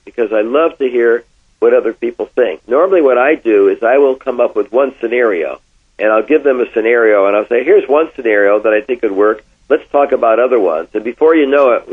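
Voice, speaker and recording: A man's voice: lowish voice, husky. An older man from Connecticut with an American accent, reminiscing in an interview and telling anecdotes with emphatic repetition. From Connecticut, United States. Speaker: interviewee, former oil executive. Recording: thin, phone-like band-limited sound.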